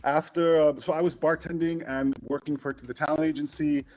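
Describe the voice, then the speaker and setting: A middle-aged man from Queens, New York, a guest in a casual interview, relaxed and candid, laughing often. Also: fairly high pitch, fairly quick, faint music behind.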